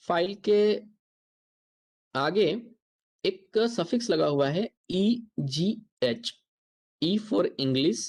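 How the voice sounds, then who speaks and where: Step-by-step Indian software instructor. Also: medium pitch. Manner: explanatory, step-by-step, repeats points. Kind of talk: software tutorial lecture.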